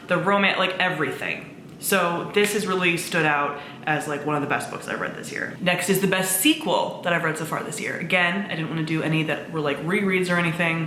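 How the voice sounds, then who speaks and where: A woman's voice: lowish voice, bright, forceful tone. A woman talking casually and enthusiastically to camera as a book vlogger, in an informal, chatty way. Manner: enthusiastic, informal with swearing and frequent "like". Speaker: book vlogger, presenter of own channel. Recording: roomy echo, faint background noise.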